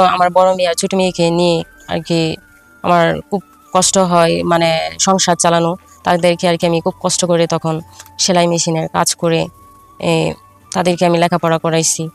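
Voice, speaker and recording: A middle-aged woman with a Bangladeshi Bengali accent tells a first-person life story, halting and repeating herself with filler phrases. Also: fairly low pitch, clean tone. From Faridpur, Bangladesh.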